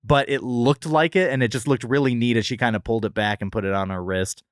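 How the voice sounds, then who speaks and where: A man's voice: medium pitch, steady tone, fast talker, dry recording, clean studio sound. A man co-hosting a podcast, casually reviewing things in a wry, joking way. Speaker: podcast co-host. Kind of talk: casual comedic review chat.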